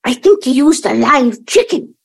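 A woman in an audio play acting an earnest, effusive younger daughter who smooths things over but bristles easily. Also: high voice, animated, normal speed, exaggerated voice. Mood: wistful.

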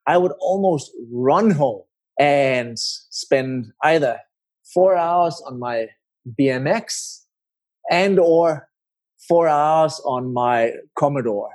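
A middle-aged man with a Danish accent, telling his story as an interview guest in measured, explanatory phrases. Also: a little high, a little mellow. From Denmark.

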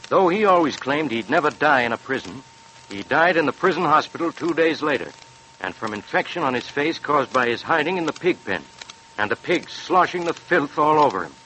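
A man's voice: fairly high pitch, big pitch swings, a little fast, sharp tone. A man, a police superintendent, reading a scripted account of a case on 1940s radio. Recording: noisy, clicking 1940s radio recording.

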